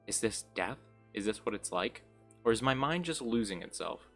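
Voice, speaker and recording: A man's voice: lowish voice, animated, moderate pace, gravelly, fairly bright tone, soft background music, full character voice. A man voicing a despairing, self-loathing young woman in a fantasy story, bleak and subdued. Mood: dazed.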